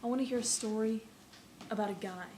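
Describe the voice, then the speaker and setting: A woman performing a theatrical monologue as a wistful dreamer longing for a story, in deliberate lyrical phrases. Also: a little high, dark tone, roomy sound, natural voice. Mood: wistful, imaginative. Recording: noticeable background noise.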